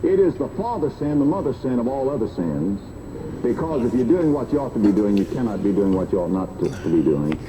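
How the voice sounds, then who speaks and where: A man's voice: big pitch swings, a little fast. A man preaching a fervent sermon over the radio, exhorting emphatically and repeating his phrases. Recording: noisy shortwave radio played through boombox.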